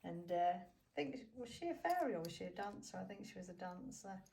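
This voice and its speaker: A woman's voice: medium pitch, sing-song, a little fast, gravelly, dark tone, recorded from a distance. A woman chatting casually as a vlog host, often tagging questions onto what she says. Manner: chatty, seeking agreement with tag questions. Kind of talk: casual chat to camera.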